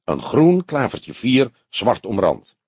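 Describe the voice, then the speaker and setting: A man voicing a polite, wry old police detective with a measured, courteous manner in an audiobook. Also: mid-range voice, sing-song, husky, dry recording, full character voice. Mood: solemn, probing. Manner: courteous, measured, gently mocking. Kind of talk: audiobook character dialogue.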